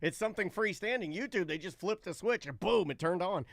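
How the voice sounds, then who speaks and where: A man in middle age with a slightly high-set voice. He talks in a casual, off-the-cuff way, like a co-host bantering with friends on a live show. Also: expressive, a little fast, gravelly, no echo, studio quality.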